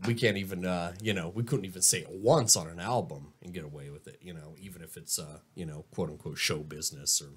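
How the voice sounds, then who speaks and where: A man on a radio show speaking in a husky voice, drawling and full of fillers. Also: deep voice, fairly even intonation, fast, few pauses, a bit bright. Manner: drawling, heavy on "you know" fillers. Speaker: guest. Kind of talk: casual radio chat.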